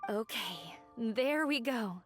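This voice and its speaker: A woman voicing a polite, soft-spoken young woman, heard over game music. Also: on the high side, animated, takes it slowly. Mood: warm.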